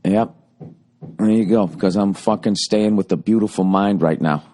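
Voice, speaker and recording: A man in his forties with a Boston accent, ranting sarcastically and profanely in a rambling comic monologue, his voice going a little hoarse. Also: on the low side, moderate pace, dark tone. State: voice getting hoarse. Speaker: podcast host.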